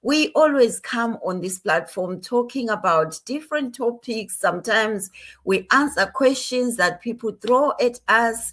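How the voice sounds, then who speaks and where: Woman, from Zimbabwe, earnest, encouraging, middle pitch, motivational talk. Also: a bit bright. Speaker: guest speaker.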